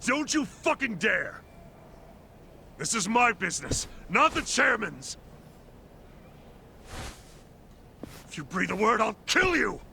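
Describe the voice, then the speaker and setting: A man's voice: sits high, big pitch swings, a little slow, gravelly, sharp tone, slight background noise, exaggerated voice. A man playing a vicious, foul-mouthed gangster villain in an English video game dub, menacing and sneering. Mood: angry, threatening.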